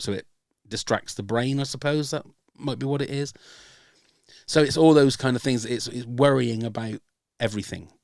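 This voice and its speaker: A man's voice: on the low side, no echo, clean studio sound. An older man hosting a podcast, talking hesitantly and openly about himself, with many ums and restarts. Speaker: podcast host. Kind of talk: casual chat.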